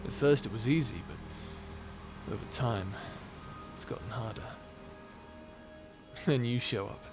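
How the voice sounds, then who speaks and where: A man voices a male space pirate captain in an intimate ASMR roleplay. He is flirty yet self-deprecating, hesitant and prone to nervous laughter. He speaks slowly at a middle pitch, with clear music behind him. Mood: earnest, soft.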